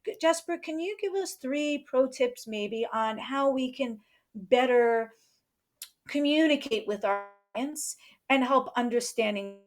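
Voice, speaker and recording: A woman's voice: sits high, unhurried. A woman hosting a chatty live interview show, with an exuberant, cheering manner. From New York, United States. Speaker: show host.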